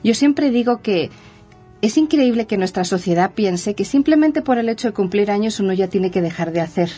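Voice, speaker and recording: A woman's voice: mid-range voice, lively intonation, moderate pace, warm tone, slightly rough, soft background music. A young woman with a Spanish accent, a guest on a TV talk show, speaking earnestly and with enthusiasm. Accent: Spanish (Spain). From Mexico City, raised in Spain. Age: young adult. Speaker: guest, entrepreneur. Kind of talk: TV interview.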